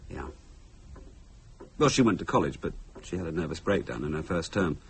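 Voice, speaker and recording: An actor playing a wry, articulate young British husband who teases morbidly in a radio thriller. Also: male voice, low voice, expressive, moderate pace, slight background noise, rough recording. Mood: sombre recollection. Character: sardonic, teasing young British husband, educated and morbidly playful. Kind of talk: radio thriller drama dialogue.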